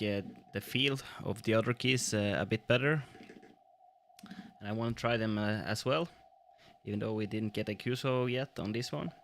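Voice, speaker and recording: A man chatting casually on an amateur radio live stream, speaking in a halting, hesitant way. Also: medium pitch, fairly bright tone. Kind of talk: casual live stream chat while operating Morse keys. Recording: clearly noisy background.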